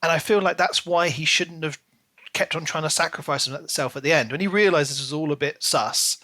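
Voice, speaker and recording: Man, bright forceful voice, slightly high, dry close sound, animated casual podcast co-host. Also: a little fast, no room sound. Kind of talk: casual book discussion.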